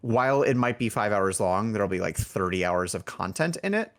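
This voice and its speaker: A man's voice: lowish voice, lively intonation, fairly quick. A man chatting casually as an interview guest, thinking aloud in a rambling way. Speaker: indie game developer, podcast guest.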